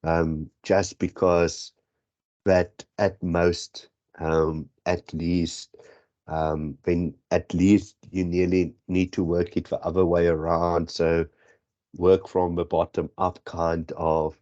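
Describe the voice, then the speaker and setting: A man's voice: deep voice, little pitch movement, slow pace, darkish tone. A man with a South African accent whose first language is Afrikaans, tutoring statistics for an exam in a rambling, self-correcting way, on dull lo-fi audio. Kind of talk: exam prep lesson.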